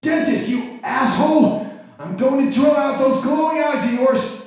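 High-pitched wounded captive, echoing. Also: male voice, measured pace, darkish tone. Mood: vengeful, taunting. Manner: foul-mouthed, taunting. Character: wounded captive crime boss, vengeful and defiant. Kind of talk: video game voice acting. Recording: heavily echoing game audio.

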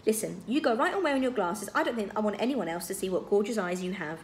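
A woman voicing a frank, caring teenage boy in a storybook, direct and gently probing. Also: mid-range voice, expressive, fast talker, a little hiss or hum, natural voice. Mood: gentle, coaxing.

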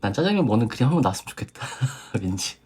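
A young woman co-hosting a review podcast in a very low, husky voice. She muses in a relaxed way with lively intonation, often with a light laugh. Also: racing. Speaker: podcast co-host reviewing a dating show. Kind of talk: casual chat review.

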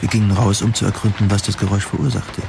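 A man's voice: lowish voice, little pitch movement, fast talker, vocal fry, background noise. A man narrating a scripted first-person science fiction story for an audio drama.

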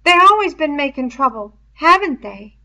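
A woman's voice: high-pitched, sing-song, normal speed, sharp tone, pitched above the performer's own voice, exaggerated voice. A woman voicing an anxious, eager schoolboy in a storybook reading, with ungrammatical, pleading speech, on a dull lo-fi recording. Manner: ungrammatical, pleading tag questions.

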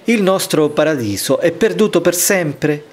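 A man's voice: a little high, lively intonation, a little slow. A man reading a scripted voice-over report as narrator. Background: music.

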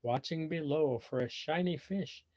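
A man with a husky voice reading a children's storybook aloud expressively and deliberately, pausing to explain things simply to young listeners. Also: fairly high pitch, darkish tone. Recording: dull lo-fi video call audio.

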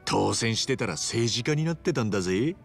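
A man's voice: medium pitch, lively intonation, brisk, soft background music. An actor playing a boisterous, big-hearted ex-yakuza man in a video game, theatrical and earnest. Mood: casual, proud. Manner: theatrical, earnest, rough-and-ready. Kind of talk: Japanese video game voice acting.

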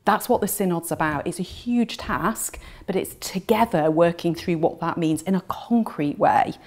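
Low-voiced woman, fluent and emphatic. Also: normal speed, darkish tone. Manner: fluent, emphatic, building lists of rhetorical questions. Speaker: theologian interviewed on the synod. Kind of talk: earnest explanatory interview talk. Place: indoor room with plain walls.

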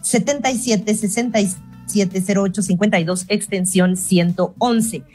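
Guatemalan lady host in her 30s reading out questions, steady and clear, earphone mic on a video call. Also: medium pitch, moderate pace, soft background music. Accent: Guatemalan Spanish. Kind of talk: reading messages on a call-in show. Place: home room on a video call.

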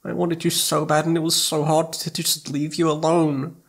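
A man voicing a shy, adoring young boyfriend in an ASMR roleplay, with soft, breathy, pleading, hesitant speech. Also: a little high, level tone, moderate pace, pitched above the performer's own voice. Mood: longing, tender.

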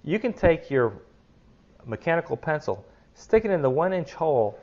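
A man's voice: fairly high pitch, big pitch swings, normal speed, darkish tone, lo-fi sound. A middle-aged man hosting a casual woodworking video, chatty and enthusiastic as he demonstrates a tool in his kitchen. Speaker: woodworking channel host. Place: home kitchen, indoors. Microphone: lapel mic.

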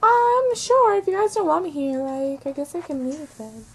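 A woman's voice: extremely high pitch, sing-song, moderate pace, background noise, pitched above the performer's own voice, exaggerated voice. A woman voicing a girlish, needy, easily hurt mom doll in a homemade comic story.

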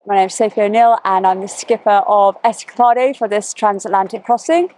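A woman's voice: mid-range voice, a little fast, a little mellow, slight background noise, low-quality recording. A woman skipper asking crew members short, friendly questions on a marina pontoon, recorded on a camcorder mic. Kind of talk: casual on-camera chat.

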